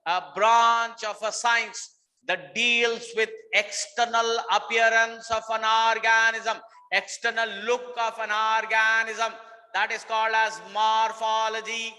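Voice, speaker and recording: A man with an Indian English accent teaching biology in a bright, high voice. He repeats each point and keeps checking on his students. His voice rings out with a long reverb around it. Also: completely flat delivery, slow pace. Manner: repetitive, questioning students, mixes in Hindi. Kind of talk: online botany lesson.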